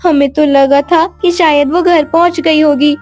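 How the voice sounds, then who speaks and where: A woman voicing an innocent, timid young girl who speaks in an earnest, pleading way in an animated children's story. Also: sits high, unhurried, faint music behind. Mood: apologetic.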